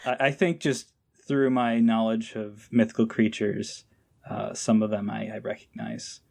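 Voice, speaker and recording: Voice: medium pitch, lively intonation, a little slow, creaky, darkish tone. An easygoing podcast guest chatting casually in a hesitant, self-deprecating way. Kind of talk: casual chat.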